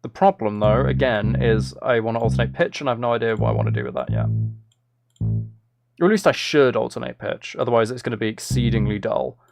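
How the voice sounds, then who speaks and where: A man's voice: medium pitch, very animated, a little fast, vocal fry. A man chatting casually on a livestream, thinking aloud in a self-deprecating way as he makes music. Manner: self-deprecating, hums and sings out rhythms. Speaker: live streamer making music.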